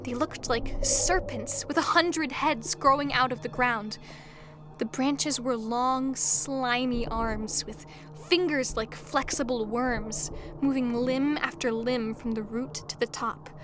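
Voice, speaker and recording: A woman's voice: fairly high pitch, fairly even intonation, slow. A woman narrating a fairy tale as a scripted storyteller in an audio drama. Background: music.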